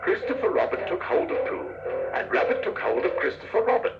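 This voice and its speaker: A man's voice: high-pitched, big pitch swings, fairly quick, distant mic. A man narrating a scripted children's story as the storyteller. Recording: dull cassette, roomy, music behind.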